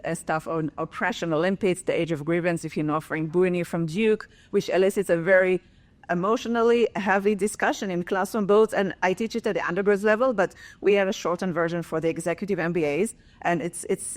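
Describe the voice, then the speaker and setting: A woman in her forties with an Israeli accent, a professor speaking earnestly on a conference panel, with many fillers and restarts. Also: on the low side.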